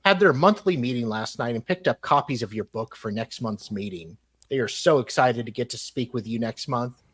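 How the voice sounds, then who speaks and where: A man with an average-pitched voice reads correspondence aloud on a live talk show. His delivery is theatrical while reading, then drops into casual, mocking commentary. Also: lively intonation, normal speed, fairly bright tone, slightly rough. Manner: mocking asides, breaks off to comment. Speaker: host reading emails aloud.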